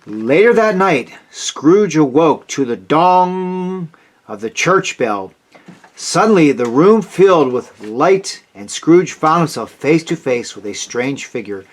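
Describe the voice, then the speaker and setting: This is a man telling a children's story from a script. He takes his time over it, lifting and dropping his fairly high voice with plenty of movement. The delivery is expressive and dramatic. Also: takes it slowly. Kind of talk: scripted read-aloud narration for children.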